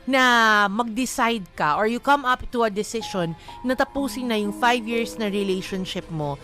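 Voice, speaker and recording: A woman's voice: a little high, expressive, moderate pace, a bit bright. A woman with a Filipino accent co-hosting a radio show, giving casual, emphatic relationship advice in mixed Tagalog and English and often seeking agreement. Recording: music bed behind the voice. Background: background music.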